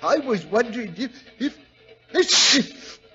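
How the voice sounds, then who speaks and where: An actor playing a chatty, folksy railway guard with a working-class English accent and a sniffly nose, in 1940s radio drama style. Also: male voice, extremely high pitch, sing-song, takes it slowly, bright, forceful tone, exaggerated voice. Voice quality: sneezy, stuffed-up with a cold. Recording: muffled vintage radio broadcast with music.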